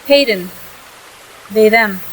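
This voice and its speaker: A woman's voice: mid-range voice, slow pace, low-quality recording. A young woman playing a restless, defensive fifteen-year-old in a contemporary audio play, with a casual, youthful manner.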